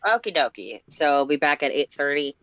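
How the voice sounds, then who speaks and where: A woman's voice: deep voice, very animated, a little fast, a bit bright, no room sound. A woman co-reading on a livestream, chatting casually with playful slang over a voice call. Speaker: livestream co-reader. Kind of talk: casual chat. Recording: muffled Discord voice call.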